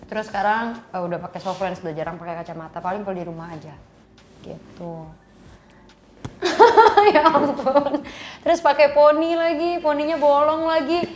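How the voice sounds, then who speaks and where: A chatty young woman in her twenties, from Java, talking as a podcast guest in a fairly high voice and breaking into giggles often. Faint background noise sits under her speech. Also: lively intonation, normal speed, darkish tone. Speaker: guest singer. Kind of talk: casual podcast chat.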